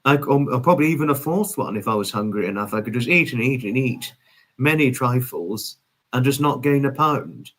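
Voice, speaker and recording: This is a man in his twenties hosting a casual livestream. He greets and answers viewers with effusive, old-fashioned politeness. His phrasing is wordy and formal, and he tends to circle back and repeat what he has just said. His pitch sits in an ordinary middle range for a man. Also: animated, normal speed, fairly bright tone. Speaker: livestream host.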